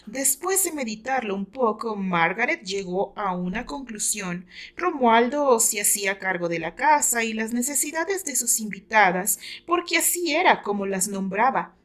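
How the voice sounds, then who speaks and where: A woman with a Mexican accent reads a dramatic novel aloud in a measured, expressive storytelling manner. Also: fairly high pitch. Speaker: author narrating her own novel. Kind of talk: dramatic audiobook narration.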